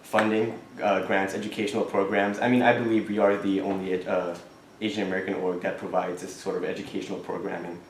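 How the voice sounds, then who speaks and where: A man's voice: sits low, level tone, slight background noise. Earnest young man in an echoey classroom. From Thailand. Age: young adult. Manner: earnest, halting, many restarts and fillers. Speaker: student board candidate. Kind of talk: answering questions at an election forum.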